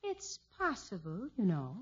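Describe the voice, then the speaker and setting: Coy, lilting young radio nurse. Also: female voice, mid-range voice, huge pitch swings, unhurried, slightly rough, full character voice. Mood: coy, teasing. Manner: playfully evasive. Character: cool, coy, teasing young nurse being courted. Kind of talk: 1950s radio comedy acting. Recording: dull 1950s radio broadcast recording.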